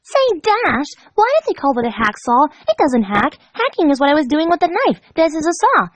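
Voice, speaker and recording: A woman's voice: high-pitched, big pitch swings, moderate pace, voice pushed up. A woman voicing a perky, childish female cartoon pony with a chatty, pouty manner and a cruel streak. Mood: matter-of-fact cheer. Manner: chatty, pouty, scolding, casual about cruelty. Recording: dull, echoey home recording.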